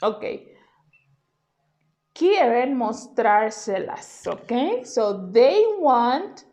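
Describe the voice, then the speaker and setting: Slow-paced, higher-voiced woman teaching. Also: darkish tone. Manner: patient, explanatory, often checks with "okay?". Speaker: Spanish language teacher. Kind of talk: informal slide-based grammar lesson.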